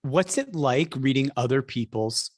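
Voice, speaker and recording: A man's voice: medium pitch, expressive, unhurried, a little mellow, dry recording, clean studio sound. A man hosting a podcast, delivering scripted introductions and interview questions.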